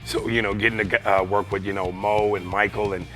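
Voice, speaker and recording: A male comedian with a raspy voice chats easily as a guest. His tone has a bright, forward edge, and his talk is loose and full of asides. Faint music sits under the studio sound. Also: on the low side, little pitch movement, a little fast. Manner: laid-back, full of fillers and asides. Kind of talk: casual TV interview chat.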